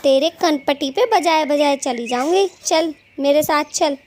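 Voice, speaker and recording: A woman's voice: sits high, measured pace, a little mellow. A woman voicing a bossy, quarrelsome female cartoon bird with a scolding, taunting way of talking. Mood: angry, threatening. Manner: scolding, taunting, colloquial bickering. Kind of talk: Hindi cartoon story dialogue.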